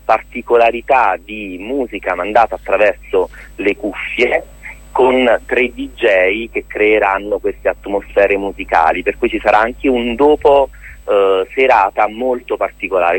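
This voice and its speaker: A man with a low-pitched, husky voice speaks as an interview guest on the radio. He explains things informally, like an event organiser laying out a programme. The sound is dry, with hardly any room in it. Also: no room sound, rough recording.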